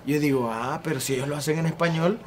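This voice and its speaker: A young man in his twenties with a Salvadoran accent, a singer telling his story at length in a relaxed interview on an outdoor patio. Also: fairly high pitch, fast, slight background noise. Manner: earnest, talks at length with ease. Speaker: singer and guest. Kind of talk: casual interview.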